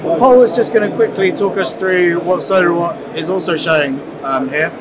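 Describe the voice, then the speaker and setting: A man interviews an exhibitor in a relaxed, conversational tone. His voice sits a little high. Noise from a big indoor exhibition hall comes through clearly. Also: moderate pace. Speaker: trade journalist interviewer. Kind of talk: casual interview questions.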